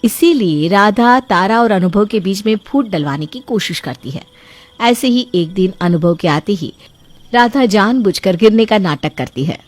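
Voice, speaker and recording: A woman narrates a scripted family story at a mid pitch. Her delivery is even and steady, the plain manner of a storyteller. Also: a little slow, dry recording.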